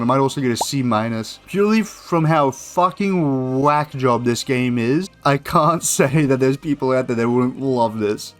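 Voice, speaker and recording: A man giving casual, sarcastic video game review commentary, swearing freely as he goes. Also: mid-range voice. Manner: sarcastic, heavily profane.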